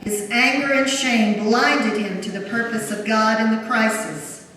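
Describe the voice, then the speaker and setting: A woman's voice: a little high, measured pace, fairly bright tone. Echoey woman narrating scripture. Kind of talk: solemn scripted dramatic narration. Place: large church during a service. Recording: very echoey church, distant, faint noise.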